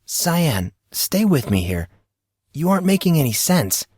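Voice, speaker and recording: A flustered teenage boy in an audiobook, played by a man, defensive and incredulous. His pitch rides up, in a dry recording. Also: very animated, unhurried, darkish tone, slightly rough, no room sound, exaggerated voice. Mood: exasperated. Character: flustered, defensive fourteen-year-old boy.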